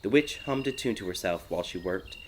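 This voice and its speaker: Low-voiced man narrating, night insects behind. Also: lively intonation, a little fast, slight background noise. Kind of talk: scripted audiobook narration of dark fairy tale. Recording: loud crickets and night ambience behind. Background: night insects, ambience.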